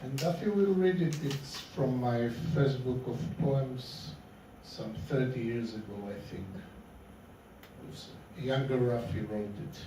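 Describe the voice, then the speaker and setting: A man talks informally, introducing a poem at a literary gathering. His voice comes from a distance in a strongly echoing room, over clear background noise. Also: mid-range voice, slow. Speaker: poet introducing own poem. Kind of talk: informal spoken introduction at a reading. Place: indoor literary meeting room. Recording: very echoey, distant, noisy room.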